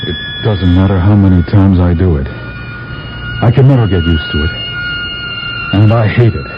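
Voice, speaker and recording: A grumbling weary space traveler. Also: male voice, deep voice, a little slow, heavy background noise. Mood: uneasy. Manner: complaining, wry. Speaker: freeholder traveling to Earth. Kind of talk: 1970s radio drama acting. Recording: dull old radio broadcast with music.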